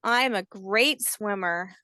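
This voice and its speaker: A woman voicing a curious, excitable little alligator hatchling in a storybook, asking things in an eager, wide-eyed way. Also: medium pitch, takes it slowly, no echo, clean studio sound. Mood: amazed.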